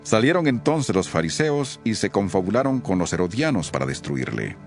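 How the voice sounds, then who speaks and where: A man's voice: on the low side, sing-song, normal speed. A man narrating scripture from a script in the role of storyteller. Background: background music.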